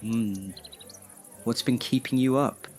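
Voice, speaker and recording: A man in his twenties playing a polite, well-off young man with a measured, proper way of talking, over soft music. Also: mid-range voice. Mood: dry. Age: 20s. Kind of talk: audio drama acting.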